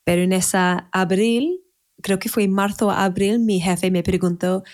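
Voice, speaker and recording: A woman in her thirties from England, a native English speaker with an English accent, talking casually as a podcast guest and often pausing to search for words. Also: medium pitch, steady tone, darkish tone. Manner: searching for words, restarts in a second language. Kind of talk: casual conversational interview.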